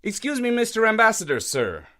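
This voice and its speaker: Storybook astronaut, polite and modest, brisk, voiced by a man. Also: sits high, wildly swooping pitch, pushed and bright, voice pushed up, heavily acted. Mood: earnest.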